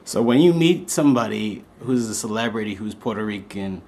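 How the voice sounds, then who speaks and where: Friendly male interviewer with a slightly low voice, asking questions casually. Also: lively intonation, a little fast, darkish tone, slight background noise.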